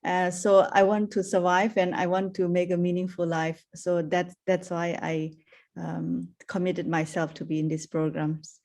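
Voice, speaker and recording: A woman from Myanmar introducing herself in a halting way, repeating words, over a video call. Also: on the low side, flat delivery, creaky, darkish tone. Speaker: workshop participant.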